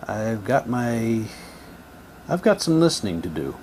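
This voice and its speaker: A man's voice: on the low side, sing-song, measured pace, a little mellow, a little hiss or hum. A man doing a casual unboxing, talking in a rambling, repetitive way and reading printed items aloud. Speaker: hobby vlogger unboxing audio drama sets.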